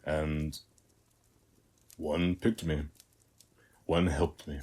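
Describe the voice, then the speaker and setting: A man playing a weary, battle-hardened warrior of Ares in a scripted audio roleplay, speaking in measured, reflective short phrases. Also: little pitch movement, darkish tone, exaggerated voice. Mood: solemn. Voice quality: very deep, husky, breathy.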